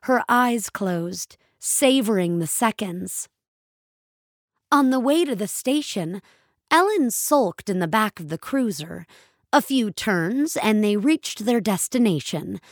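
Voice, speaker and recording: Slow, expressive woman narrating fiction. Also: mid-range voice, dry recording, studio quality. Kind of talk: scripted dramatic audio fiction narration.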